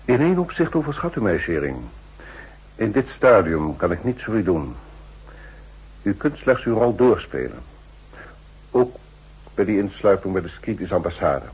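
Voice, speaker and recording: A powerful, shrewd statesman in a 1960s radio drama. The voice is deep and very husky, formal and commanding, with animated intonation. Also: heavily acted, rough recording. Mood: shrewd, measured. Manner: formal, measured, authoritative. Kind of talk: 1960s Dutch radio play acting.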